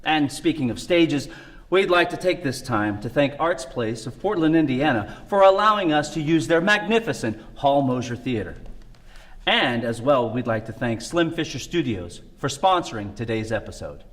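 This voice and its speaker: A man's voice: medium pitch, expressive, normal speed. A man with a Midwestern American accent co-hosting a theater tips show, delivering scripted lines with theatrical emphasis. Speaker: co-host.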